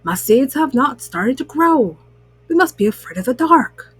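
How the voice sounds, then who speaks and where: A woman's voice: sits high, sing-song, unhurried, breathy edge, dry recording, heavily acted. A woman voicing an impatient, easily flustered male toad in a children's storybook, with an insistent, fretful manner.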